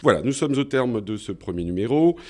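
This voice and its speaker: A man's voice: medium pitch, animated, brisk. A man hosting a podcast, speaking in a semi-formal, courteous way as he addresses guests and listeners. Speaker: podcast host.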